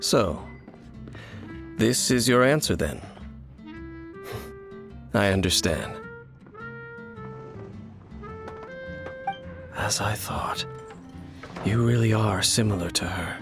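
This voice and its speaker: An actor playing a mysterious, cold swordsman in a video game, speaking in a formal, measured, aloof way. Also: lowish voice. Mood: solemn, aloof. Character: mysterious cold male swordsman hunting abyssal monsters. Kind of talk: scripted video game dialogue. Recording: music behind the voice.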